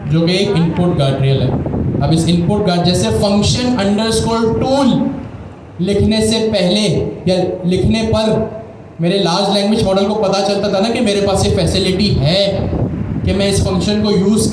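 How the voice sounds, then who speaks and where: A man teaching a live coding class, explaining step by step, quizzing students and repeating key terms. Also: sits high. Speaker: coding instructor. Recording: very echoey room with clear background noise.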